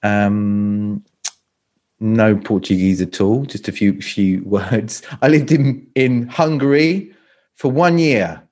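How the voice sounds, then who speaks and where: A man's voice: fairly low pitch, takes it slowly, a little mellow. A middle-aged man from London with a British accent, a speaking coach chatting as a guest on a video call and often stopping to restart his sentences. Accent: British English. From England, London. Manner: hesitant, many restarts and repeats. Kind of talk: casual interview chat.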